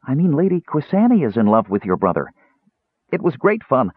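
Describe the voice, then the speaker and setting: A man voicing an excitable, childlike little kender who rambles eagerly in a storybook reading. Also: mid-range voice, normal speed, slightly rough, no room sound, phone-quality sound, pitched above the performer's own voice, full character voice. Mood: gossipy, excited. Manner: rambling, breathless, eager, digressive.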